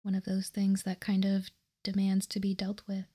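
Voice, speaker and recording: A woman whispering softly and calmly in an ASMR style, explaining and reading out text as a host, close to the microphone. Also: mid-range voice, slightly rough. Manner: soft, unhurried, calm explaining. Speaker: host of card-game ASMR channel.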